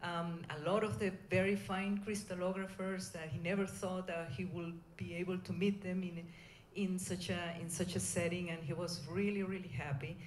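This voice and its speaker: A middle-aged woman with a Venezuelan Spanish accent gives an informal conference talk, speaking in a hesitant, halting way. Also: lowish voice, little pitch movement. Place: indoor conference room. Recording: echoey room, clear background noise.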